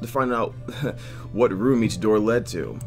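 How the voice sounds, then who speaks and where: A man hosting a game playthrough, reading visual novel narration aloud in an expressive way, stumbling now and then and dropping in joking asides. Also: fairly low pitch, slow pace, faint music behind. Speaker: host of a video game playthrough.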